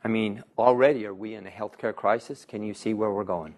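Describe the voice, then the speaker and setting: A man's voice: lowish voice, fairly even intonation, a little fast, darkish tone. A man, a physician, giving a conversational public health talk, repeating audience questions and asking the room rhetorical questions.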